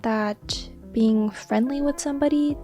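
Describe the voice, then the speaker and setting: A woman in her twenties, a crochet creator chatting casually and earnestly as she answers viewer questions, sometimes dropping to a soft whisper. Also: fairly high pitch, a little slow, faint music behind. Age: 20s. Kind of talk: casual Q&A chat.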